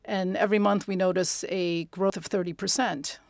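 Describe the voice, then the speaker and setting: A woman interpreting live at a conference, speaking in measured, segmented phrases with pauses. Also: mid-range voice, steady tone, gravelly, a little mellow. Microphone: close interpreter's microphone.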